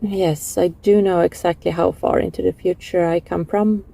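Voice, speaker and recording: Woman acting a dark, husky, lovesick devoted woman. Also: fairly low pitch, steady tone. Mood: flustered, earnest. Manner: halting, emotional, breathy sighs and stammers. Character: lovesick time-travelling former bully, flustered, tearful, desperately devoted. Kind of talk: intimate audio roleplay acting.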